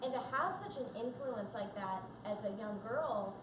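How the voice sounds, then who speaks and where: A high-voiced woman talks earnestly and chattily as a panel guest, her words full of fillers. She sounds distant from the mic, and the room rings around her. Steady background noise from the shop sits under her voice. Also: normal speed, echoey room. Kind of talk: live panel talk. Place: coffee and comics shop event.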